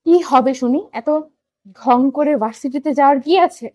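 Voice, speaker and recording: A high, close and dry female voice of an actress as a sassy young student wife in a romantic audio drama. She is possessive and quick to scold, with a sharp edge to her delivery. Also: no echo. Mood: jealous, sulky. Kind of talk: scripted audio story acting with first-person narration.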